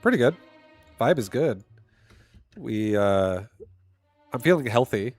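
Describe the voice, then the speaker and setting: A man with a stuffy nose giving casual live commentary while streaming a game, thinking out loud and now and then breaking into excited shouts. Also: mid-range voice, slow pace, soft background music.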